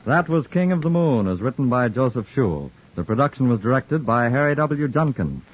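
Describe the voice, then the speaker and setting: A man making formal, measured announcements as a vintage radio announcer. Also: mid-range voice, sing-song. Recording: tinny old radio broadcast with music.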